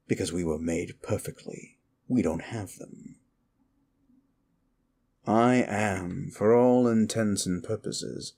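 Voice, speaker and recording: Man voicing a very deep, gravelly, contemptuous demon. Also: a little mellow, full character voice. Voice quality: low, gravelly. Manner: theatrical, brooding, slow and deliberate with dramatic pauses. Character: wicked, contemptuous immortal male demon, secretly conflicted. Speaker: audio roleplay performer. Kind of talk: ASMR roleplay monologue, addressed to the listener.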